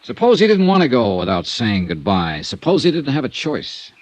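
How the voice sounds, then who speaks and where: A man's voice: mid-range voice, wildly swooping pitch, moderate pace, dry recording. An actor playing a confident, dry male insurance investigator who also narrates, in a 1950s radio drama. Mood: probing. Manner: dry, probing, understated.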